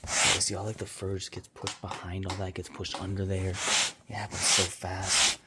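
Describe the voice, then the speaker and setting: A man chatting casually while cleaning his living room, meandering and offhand, with quiet mumbled asides, into a handheld phone. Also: low voice, monotone, normal speed. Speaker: vlogger and pet owner. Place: home living room, indoors. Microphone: handheld phone camera. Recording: loud background noise.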